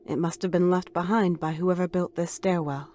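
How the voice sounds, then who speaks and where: Low-voiced, composed magic user played by a woman. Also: fairly even intonation, dark tone, close to an everyday voice. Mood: concerned. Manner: measured, formal, reserved. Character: composed, cautious wand-wielding companion of the queen. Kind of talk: fan dub voice acting.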